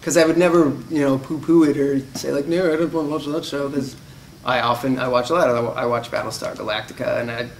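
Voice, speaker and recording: A man's voice: mid-range voice, fairly even intonation, fast talker, far from the mic, slight background noise. A man in his thirties, an actor, chatting casually in interview with a self-deprecating, joking manner. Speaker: actor guest. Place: convention press room.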